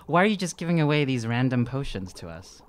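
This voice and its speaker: A man's voice: medium pitch, big pitch swings, quick pace, noisy background. A man playing a tabletop game, talking casually and a little hesitantly.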